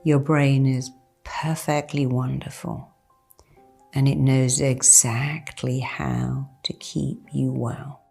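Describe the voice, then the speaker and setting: A woman leading a guided meditation as a therapist, in a deliberate, reassuring manner with repeated, emphatic phrases. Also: rumbling low, level tone, creaky, dark tone, husky, faint music behind. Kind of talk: guided meditation, soothing hypnotherapy-style instruction.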